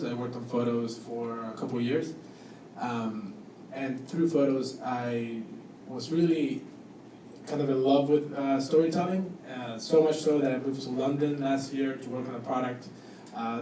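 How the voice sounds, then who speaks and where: A man from Cuba and Costa Rica gives an informal, somewhat hesitant introduction as a guest speaker at a panel talk. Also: medium pitch. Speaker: guest speaker, product designer. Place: indoor event room with audience. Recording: echoey, noisy room, distant microphone.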